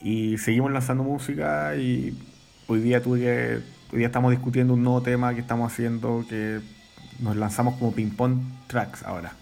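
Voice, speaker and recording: A Chilean man, a musician guest, talking in a relaxed, rambling way with many fillers. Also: mid-range voice, moderate pace, gravelly, faint music behind. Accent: Chilean Spanish. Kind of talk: casual interview chat.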